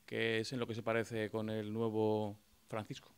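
Clear-voiced man from a casual interview. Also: fairly low pitch, monotone, normal speed. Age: 30s. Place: small office, indoors. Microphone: handheld reporter microphone.

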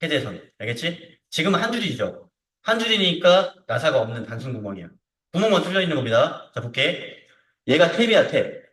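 A male instructor walks through a practical software lesson in a casual, checking-in way. His voice sits a little high and swings in lively rises and drops. It is picked up from a distance in a roomy, echoing space. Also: brisk. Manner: informal, repeatedly checks understanding with short tag questions. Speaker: CAD instructor. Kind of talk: hands-on software tutorial lesson. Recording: roomy echo, distant microphone.

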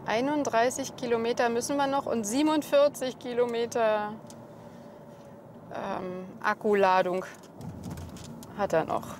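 A woman's voice: fairly high pitch, fairly even intonation, a little slow, long pauses. A woman in her thirties with a German accent comments spontaneously on what she is doing. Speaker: electric car driver featured in report. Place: in a car and on city streets. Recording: loud music over location sound. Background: loud music, vehicle sounds.

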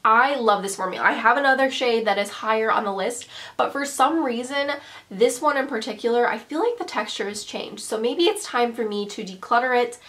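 A woman in her twenties with a congested cold, chatting to camera as she reviews makeup in her bedroom, with quick self-deprecating asides. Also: on the high side, expressive, a bit bright. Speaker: beauty vlogger. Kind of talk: casual product review to camera. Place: bedroom vanity, indoors.

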